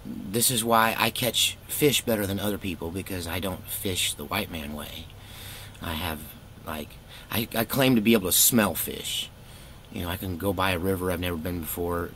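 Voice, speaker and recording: A middle-aged man with a fairly low voice tells stories casually, wandering from point to point. He is laid-back and wry, quick to poke fun at himself. Faint noise sits behind his voice. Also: fairly bright tone. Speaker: storytelling vlogger, former youth pastor. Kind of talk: casual rambling personal storytelling.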